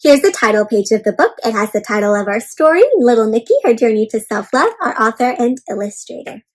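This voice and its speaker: Female children's read-aloud host. Notably high voice, expressive and encouraging, engages young listeners with questions. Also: a little fast. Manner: expressive, encouraging teacher-like delivery.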